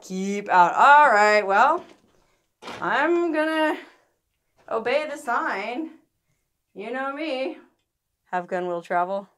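A woman in her thirties, excitable and easily amazed, narrating as she explores outdoors and inside an old mine, talking into a handheld camera. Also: a little high, slow, long pauses, no room sound.

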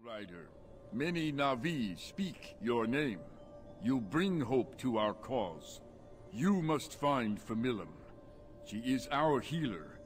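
An actor playing a grave, formal alien native warrior in a video game. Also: male voice, mid-range voice, very animated, takes it slowly, faint music behind. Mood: grave, hopeful. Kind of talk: video game character acting.

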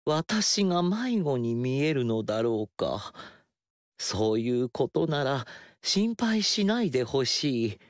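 An actor playing a gentle, self-effacing young male ruler in a video game, soft-spoken and politely old-fashioned. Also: mid-range voice, sing-song, takes it slowly, dry recording, clean studio sound. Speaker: game character. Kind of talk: game dialogue acting.

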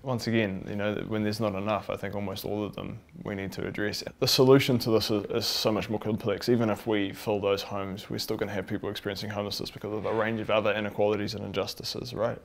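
A man's voice: lowish voice, flat delivery, fast talker, creaky, hardly any pauses, slight background noise. Rambling, searching male interviewer. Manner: searching, loose questions with many fillers. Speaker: host and interviewer. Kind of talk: conversational interview. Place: outdoors on a street and in an indoor room.

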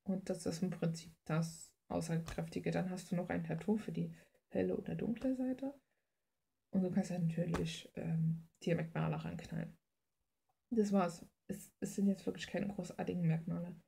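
Woman, very raspy, mellow dark tone, informal slangy chat, thinking aloud. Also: fairly low pitch, steady tone, normal speed. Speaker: artist hosting a drawing video. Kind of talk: casual rambling chat while drawing. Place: indoors at a drawing desk.

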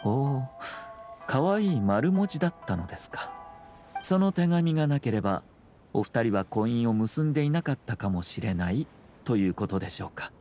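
Courteous young aristocratic official, played by a man, mid-range pitch, unruffled and formal, anime game dialogue over clear background music. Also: lively intonation, dark tone, close to an everyday voice. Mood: gently probing. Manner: composed, courteous, measured. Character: composed, courteous young nobleman and commissioner. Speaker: government commissioner.

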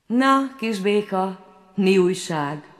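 A proud young fairy-tale prince, impatient and quick to scoff, his voice raised and forthright, as a woman acts him out. The delivery swings lively in pitch, with a brusque, indignant edge. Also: faint music behind, close to an everyday voice.

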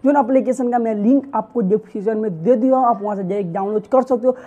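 A man's voice: high-pitched, quick pace, dull audio. A young man hosting an app tutorial, explaining steps earnestly while gesturing with his hands, recorded on a lapel mic in a small home. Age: young adult. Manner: earnest, talks with animated hand gestures. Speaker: tech tutorial host.

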